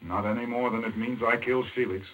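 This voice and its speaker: A man's voice: medium pitch, fairly even intonation, fast, fairly bright tone, slight background noise, lightly acted, rough recording. An actor playing a shrewd, dry, brooding man in a 1940s radio crime drama. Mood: grim, brooding.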